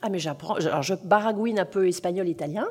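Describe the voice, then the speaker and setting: A woman novelist talking in an interview, lively and self-deprecating, with frequent hesitations. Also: lowish voice, fast talker. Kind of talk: interview conversation.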